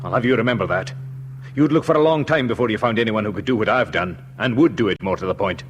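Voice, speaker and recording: A man's voice: on the low side, fast, highs cut off, slight background noise, lightly acted. An actor playing a bitter, proud male lab technician who boasts resentfully in a radio drama. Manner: resentful, boastful, rising to intense bursts.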